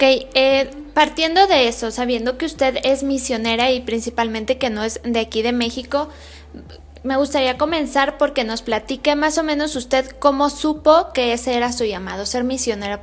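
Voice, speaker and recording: A young woman with a Mexican Spanish accent hosting her own channel, asking a guest questions in a friendly, polite way. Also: sits high. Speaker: interviewer and channel host. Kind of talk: casual interview.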